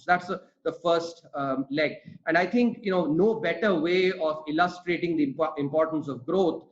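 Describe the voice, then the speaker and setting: A man whose first language is Tamil lectures earnestly over a webinar link, his voice sitting a little high for a man. He piles up fillers and restarts his phrases as he builds his points. The call audio is dull and lo-fi. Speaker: webinar speaker on economics. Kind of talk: webinar talk.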